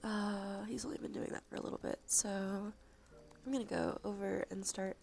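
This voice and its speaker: A soft-spoken woman chatting on a cozy ASMR livestream, with a creaky voice that often slips into a breathy whisper. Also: medium pitch, fairly even intonation, moderate pace, soft background music. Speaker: streamer.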